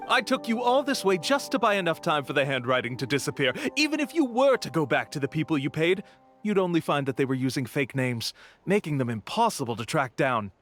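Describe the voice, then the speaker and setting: Male game swindler: smug theatrical tour guide, highish pitch, lively intonation, faint music behind. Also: fairly quick. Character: smooth-talking, brazen male con-artist tour guide. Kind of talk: video game voice acting.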